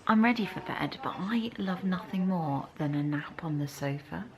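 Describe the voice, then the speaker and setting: A woman's voice: lowish voice, animated, moderate pace, a crowd in the background. Chatty British woman vlogging. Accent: British English. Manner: chatty, self-mocking, cosy. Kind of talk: casual vlog chat to camera.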